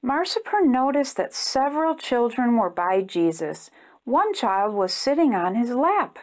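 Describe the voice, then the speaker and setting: High, slow, lively woman reading to children. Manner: expressive, simple phrasing aimed at young children. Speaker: children's storytime reader and host. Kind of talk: animated children's storybook read-aloud.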